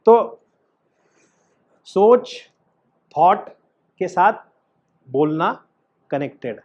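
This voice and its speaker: A man's voice: high voice, darkish tone, dull audio. A man teaching an acting class as coach, instructive and emphatic, often putting questions to his student.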